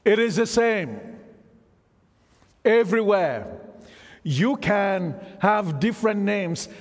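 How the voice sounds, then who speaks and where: A man preaches in a slow, deliberate cadence, his fairly high voice ringing through a long-reverberating church hall. He keeps drawing the congregation in with questions. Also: expressive. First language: Isoko. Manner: engaging, quizzes the congregation. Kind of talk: sermon.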